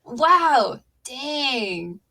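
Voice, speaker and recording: A woman in her twenties hosting a podcast, chatting in a lively, animated way. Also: high-pitched, very slow. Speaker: podcast host. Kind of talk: casual conversation.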